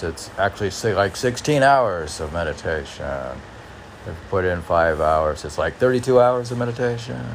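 A man reading poetry and commenting on it informally in a halting, musing, self-questioning manner. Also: low voice, slight background noise. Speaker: poet reading and commenting on own poems.

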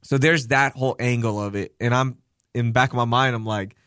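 A young man, born in Pakistan, hosting a casual podcast chat, telling candid, animated stories with some swearing. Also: medium pitch, fairly quick, smooth, no echo, clean studio sound. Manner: candid, animated storytelling with profanity. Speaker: podcast host.

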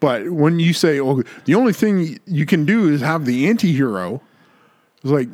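A man's voice: fairly high pitch, very animated, moderate pace, darkish tone, no echo. A man co-hosting a podcast in casual, opinionated chat, making points emphatically and repeating words for stress. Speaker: podcast co-host.

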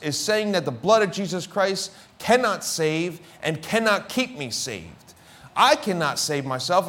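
Fervent preacher with a Philadelphia accent. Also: male voice, a little high. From Philadelphia inner city. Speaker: pastor. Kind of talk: sermon.

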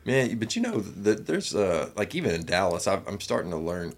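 A man's voice: low-pitched, sing-song, a little fast. A man hosting a podcast in casual chat, easygoing and agreeable, often murmuring assent. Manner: easygoing, agreeable, backchannels a lot. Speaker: podcast host.

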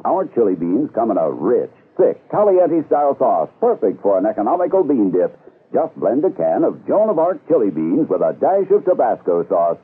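A man's voice: medium pitch, sing-song, moderate pace, husky. A man reading a scripted radio commercial on a tinny old broadcast. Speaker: commercial announcer.

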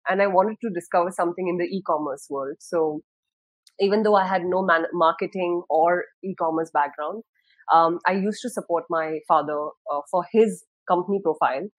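A woman in her thirties from Dubai talks about her career on a podcast. Her speech is relaxed and reflective, full of small pauses. The recording is dry and close, with no room sound. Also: fairly low pitch, no echo, rough recording. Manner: thoughtful, many fillers and restarts. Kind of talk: casual interview talk.

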